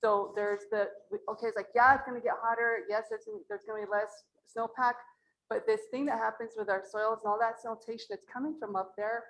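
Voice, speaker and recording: A woman from Mora, New Mexico, with a low voice, speaking earnestly and plainly as an acequia representative at a community meeting in a library meeting room. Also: steady tone, fairly quick, fairly bright tone, low-quality recording.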